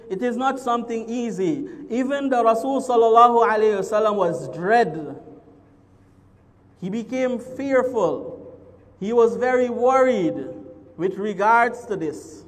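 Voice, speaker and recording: A man in his thirties from Guyana gives a sermon as imam from a pulpit in a large mosque, explaining each Arabic term in English and repeating phrases for emphasis. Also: high voice. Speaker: imam giving a Friday sermon. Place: large mosque hall with a crowd seated on carpets. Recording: long hall reverb.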